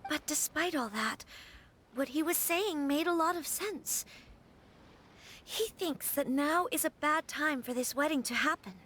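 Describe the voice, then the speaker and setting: An actress playing a formal, anxious young noblewoman in a video game, speaking gently and with some hesitation. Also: sits high, unhurried.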